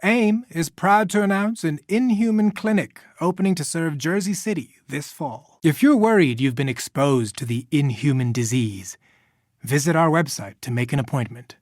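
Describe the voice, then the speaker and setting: A man's voice: on the high side, animated, normal speed, darkish tone, no room sound, clean studio sound, lightly acted. An actor playing an earnest, anxious male scientist and company chief in a video game, nervy but articulate. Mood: polished, formal. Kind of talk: video game character acting.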